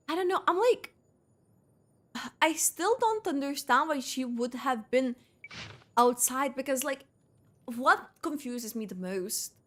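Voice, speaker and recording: High-voiced woman rambling through live gameplay. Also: fairly bright tone. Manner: rambling, thinking aloud, self-correcting. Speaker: game streamer. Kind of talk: casual live gameplay commentary.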